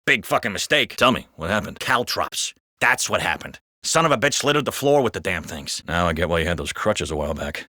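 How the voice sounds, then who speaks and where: Bright, animated American cop. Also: male voice, sits low, brisk, few pauses, dry recording, clean studio sound, exaggerated voice. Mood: bantering. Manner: expressive, colloquial, comically exaggerated. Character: wisecracking, foul-mouthed male city cop. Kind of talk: scripted video game character banter.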